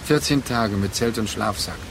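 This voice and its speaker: An actor in a literary radio drama playing a brooding man who addresses his remembered former lover in halting, reflective phrases. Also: on the low side. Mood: musing. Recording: clear noise behind the voice.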